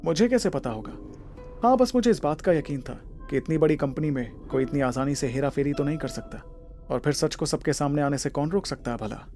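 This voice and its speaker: A man's voice: a little high, lively intonation, a little fast, warm tone, soft background music, natural voice. A man playing a protective, self-assured young husband in an audio drama, speaking earnestly. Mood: evasive, assured. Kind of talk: Hindi audio drama acting.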